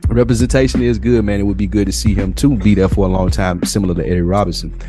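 Guy podcast cohost, low voice, casual and emphatic, repeats his points, music playing under him. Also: fairly even intonation, fast talker. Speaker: podcast co-host. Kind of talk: casual opinionated podcast conversation.

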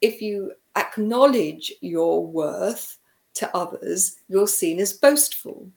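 A woman's voice: mid-range voice, slow pace, gravelly, darkish tone. A British woman hosts an interview, talking thoughtfully with occasional hesitant fillers. Her voice carries a roomy echo. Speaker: host.

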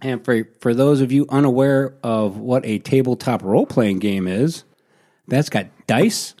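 A man at an everyday middle pitch hosts a casual podcast and steers a tabletop game. He sounds upbeat and guiding, and he laughs easily. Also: unhurried, mellow. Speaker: co-host and game master.

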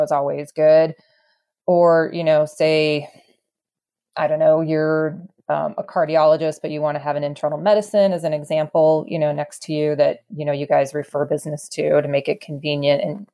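Low-voiced woman explaining, halting and thoughtful. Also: little pitch movement, mellow. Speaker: real estate expert guest. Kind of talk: conversational interview explanation.

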